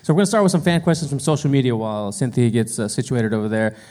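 A man's voice: medium pitch, animated, racing, dark tone. A man co-hosting a podcast, casually putting questions to the others. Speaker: podcast co-host.

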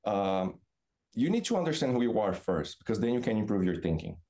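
A middle-aged man from Brazil with a Brazilian accent, a native Portuguese speaker, giving thoughtful, halting explanations as an interview guest. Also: on the low side, very animated, mellow. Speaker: podcast guest, business professor. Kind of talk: reflective interview answers.

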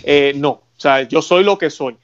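A man's voice: a little high, unhurried, highs cut off. A man with a Puerto Rican Spanish accent hosting a talk show, speaking earnestly in a preaching tone with religious references. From Puerto Rico. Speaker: radio show host.

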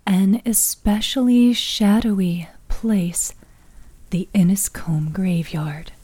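A woman's voice: medium pitch, steady tone, slow pace, warm tone, slightly rough, no echo. A woman reading scripted fiction as the narrator of a story.

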